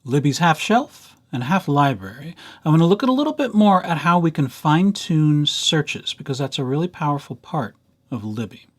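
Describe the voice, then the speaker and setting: Man with a lively, swooping tutorial delivery. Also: on the high side, moderate pace, breathy edge. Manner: playful, dryly humorous asides. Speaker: library presenter, tutorial host. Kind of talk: casual screen-share software tutorial.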